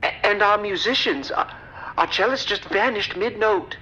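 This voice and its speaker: A woman playing a horrified restaurant maître d' on an emergency call in an audio drama, formal but halting and distraught. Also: medium pitch, lively intonation, sharp tone, slightly rough. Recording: noisy, dull radio-like call audio.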